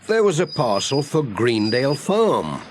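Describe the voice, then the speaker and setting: A man narrates a children's story from a script. He takes his time, and his pitch moves expressively as he reads. The recording carries slight background noise. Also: very animated, measured pace. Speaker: audiobook narrator.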